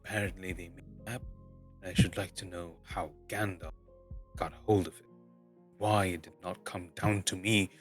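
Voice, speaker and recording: A proud dwarf heir acted by a man broods in a low voice, solemn and declamatory. It is a bitter storybook figure, with soft music underneath. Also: fairly even intonation, moderate pace, close to an everyday voice. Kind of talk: dramatic book reading.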